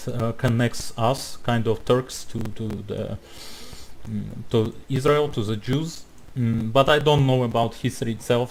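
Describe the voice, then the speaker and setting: A man's voice: mid-range voice, a little mellow, low-quality recording. Blunt man. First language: not English. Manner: blunt, heated, interrupting, many restarts. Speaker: reaction video commentator. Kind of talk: commentary over a played video.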